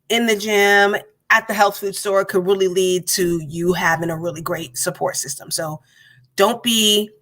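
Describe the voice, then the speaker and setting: Encouraging woman host, mid-pitched. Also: a bit bright. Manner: encouraging, rambling, many restarts. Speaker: podcast host. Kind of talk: casual podcast chat.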